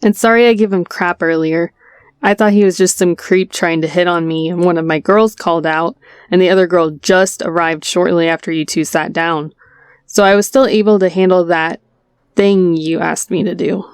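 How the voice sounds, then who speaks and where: A woman playing a chummy, efficient, slyly knowing waitress in an audio roleplay, brisk and polite. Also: lowish voice, fairly even intonation, clean tone, no echo, studio quality, close to an everyday voice.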